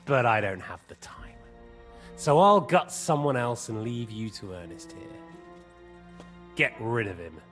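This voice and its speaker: An actor playing a sadistic, mocking commander who toys with prisoners in a leisurely, falsely courteous way, in a video game cutscene. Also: male voice, medium pitch, expressive, takes it slowly, pauses often, fairly bright tone. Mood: menacing. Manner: taunting, leisurely, theatrically courteous. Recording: music behind the voice.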